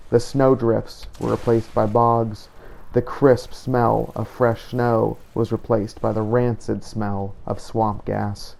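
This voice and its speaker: A man with a low, mellow voice reads a solemn in-character adventure journal. His delivery is very even, with a creaky edge, as a grave monster hunter weighing his duty. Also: rough recording. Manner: solemn, measured storytelling. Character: grave, duty-torn male vampire hunter and Dragonborn. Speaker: game player narrating a roleplay playthrough. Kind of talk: in-character scripted journal narration.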